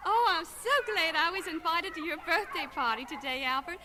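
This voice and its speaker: A woman's voice: very high voice, brisk, heavy background noise. A woman voicing an eager, polite childlike puppet on a children's record, gushing with delight. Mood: pleased.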